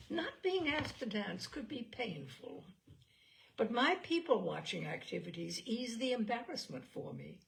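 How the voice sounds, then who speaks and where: A woman reading literary memoir aloud in a measured, theatrical storytelling manner. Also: medium pitch, vocal fry, poor audio. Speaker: reader of literary selections.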